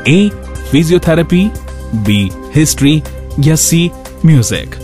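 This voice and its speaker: A man's voice: on the high side, animated, takes it slowly, dark tone, faint music behind. A man hosting a radio show, reading scripted narration and announcements.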